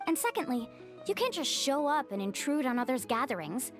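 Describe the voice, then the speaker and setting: A woman's voice: sits high, very animated, fairly quick. A woman voicing a cheerful young woman who guides her group and takes the lead. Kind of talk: game voice acting. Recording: game audio with faint music.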